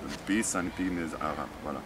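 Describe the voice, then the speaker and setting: Deep-voiced Algerian man, halting speech. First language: Arabic. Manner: halting, with many fillers and restarts. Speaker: interviewee. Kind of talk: casual interview answers. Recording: distant mic with street noise.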